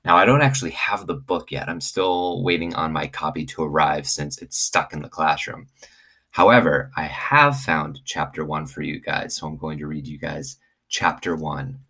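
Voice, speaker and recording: Deep voiced guy reading a kids book out loud, teacher style, expressive. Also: normal speed, husky. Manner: expressive, acts out dialogue. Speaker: teacher reading aloud to students. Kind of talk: read-aloud storytelling for children.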